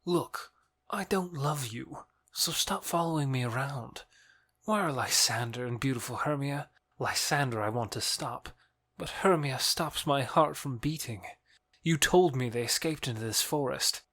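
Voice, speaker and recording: A man's voice: mid-range voice, a bit bright, no room sound. A man playing a scornful, exasperated young lover in an audio drama, with a curt manner. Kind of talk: theatrical audio drama acting.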